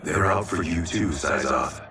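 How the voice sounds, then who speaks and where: An actor playing a wary, sober warrior in a video game. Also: male voice, low voice, measured pace. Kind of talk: cinematic video game acting. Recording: echoey, distant, music behind.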